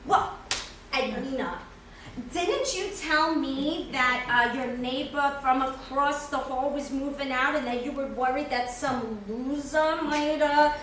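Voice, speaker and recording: A woman playing a jolly, teasing food service worker in a staged play reading, rambling haltingly with chuckles. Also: high voice, fairly bright tone. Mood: chatty, teasing. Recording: echoey hall, distant mic, background noise.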